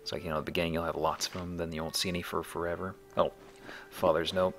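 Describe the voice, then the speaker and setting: Male game commentator, low and creaky with a forceful edge; wry, casual, joking asides. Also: little pitch movement, fast talker, soft background music. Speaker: let's play host and player.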